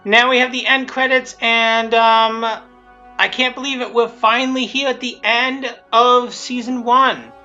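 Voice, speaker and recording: A creator giving casual, unscripted commentary, rambling and repeating themselves in a halting way. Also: mid-range voice, little pitch movement, bright, forceful tone. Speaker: creator commentating on own abridged series. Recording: dull lo-fi mic. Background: show audio and music playing underneath.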